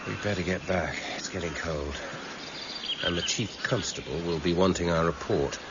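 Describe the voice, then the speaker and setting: A senior English detective in a radio crime drama, with a low voice and deliberate, clipped delivery. He is weary and measured, carrying quiet authority. The recording is muffled, with clear background noise. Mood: weary, reflective. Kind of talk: 1990s British radio drama acting. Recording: muffled, noisy old radio broadcast recording.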